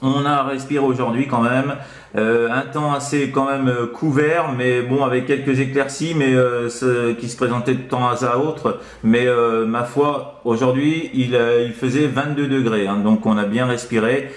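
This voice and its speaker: A man with a medium-pitched voice talks casually into his radio microphone, feeling his way through phrases in a halting manner. The room around him is clearly echoey. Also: fairly even intonation. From France. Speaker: amateur radio operator. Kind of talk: casual radio contact chat. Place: indoors, sixth-floor apartment.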